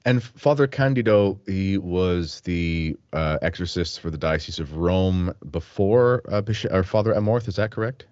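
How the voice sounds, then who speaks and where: A Canadian man with a fairly low voice hosts a podcast interview, friendly and now and then joking. He talks with plenty of ums and restarts, and the recording is close and dry with little room sound. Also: expressive, normal speed, few pauses, darkish tone, dry recording, dull audio. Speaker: podcast host. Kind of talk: interview and read sponsor spot.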